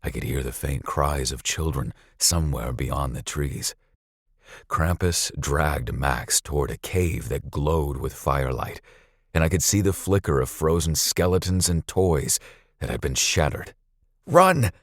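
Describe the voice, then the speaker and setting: A man narrating a scripted story dramatically, with a suspenseful, deliberate delivery. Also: very deep voice, no room sound, clean studio sound.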